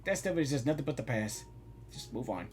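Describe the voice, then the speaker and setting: A woman's voice: extremely low pitch, very animated, a little fast, a little mellow, breathy edge. A woman giving casual, offhand reaction commentary while playing a story game. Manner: informal, offhand remarks. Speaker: reaction video creator.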